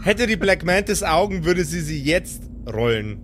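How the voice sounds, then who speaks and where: A man's voice: a little high, very animated, moderate pace, fairly bright tone, a little hiss or hum. A man narrating an improvised audio drama as game master in a dramatic storytelling style.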